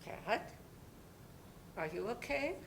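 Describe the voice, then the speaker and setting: Kind motherly neighbour, played by a woman: high voice, unhurried, gently reassuring. Stage play reading with faint rumble. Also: very animated, gravelly, heavily acted. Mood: gentle, careful. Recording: live recording with faint rumbling noise.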